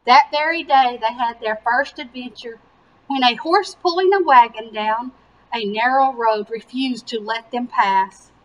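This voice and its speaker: A woman reading a picture book aloud to young children with animated emphasis and simple explanatory asides. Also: on the high side, a little slow, lo-fi sound, a little hiss or hum. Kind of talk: slow, expressive children's read-aloud.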